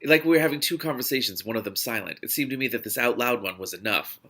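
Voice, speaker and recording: A man's voice: medium pitch, fast talker, a bit bright. A man reading the part of a male investigator from a novel, friendly but probing and persuasive. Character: probing, coaxing male investigator at his desk.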